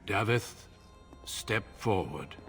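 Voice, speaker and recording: A man voicing a grave, solemn commander who speaks in measured tones. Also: fairly low pitch, expressive, a bit bright, faint music behind. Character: grave middle-aged male warrior commander, solemn. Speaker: game character.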